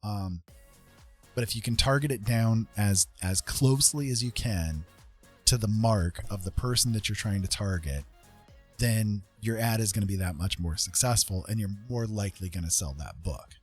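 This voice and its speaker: A man's voice: fairly low pitch, moderate pace, a little mellow, breathy edge, soft background music. A man giving casual advice to viewers as a livestream host, talking in an informal, rambling way and often checking in with the listener.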